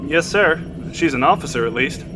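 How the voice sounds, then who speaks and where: A man's voice: fairly high pitch, expressive, moderate pace, lightly acted. Man doing a worn-out sailor, polite, all yes sir, noisy background. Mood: dutiful. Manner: respectful, dutiful, frequent "sir". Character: loyal, exhausted male submarine sailor. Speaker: sailor, coxswain. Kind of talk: audio drama acting.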